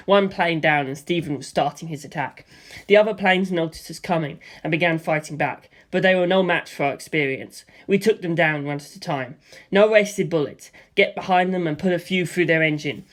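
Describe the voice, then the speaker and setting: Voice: mid-range voice, normal speed. A narrator telling a first-person action story, voicing gunfire and explosions aloud. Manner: vivid storytelling with spoken sound effects for gunfire and explosions.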